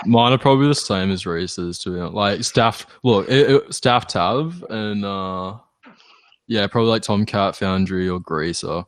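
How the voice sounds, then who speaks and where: A man's voice: on the low side, normal speed, no room sound. A young Australian man in a casual chat, rambling hesitantly with lots of filler words. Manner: hesitant, rambling, heavy use of "like". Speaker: band member guest.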